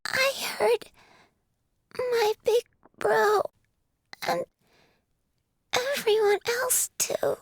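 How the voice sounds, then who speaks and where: A woman voicing a frail, sweet little girl in a video game, faint and halting. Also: very high-pitched, fairly even intonation, creaky, no echo, full character voice. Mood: weak, tender.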